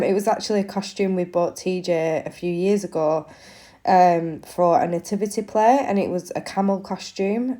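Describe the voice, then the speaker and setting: Woman, 30s, blocked-up nose from a cold; easygoing rambling narration, darker mellow tone. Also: mid-range voice. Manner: chatty, many ums. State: congested with a cold. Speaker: craft vlogger. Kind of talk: casual voiceover narration. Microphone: voiceover mic.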